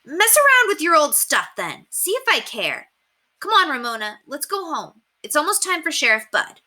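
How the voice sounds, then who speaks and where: A woman's voice: high-pitched, big pitch swings, normal speed, bright, forceful tone, pitched above the performer's own voice, full character voice. A woman voicing an indignant young girl with hurt feelings in a children's storybook, petulant and exclaiming. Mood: hurt, huffy.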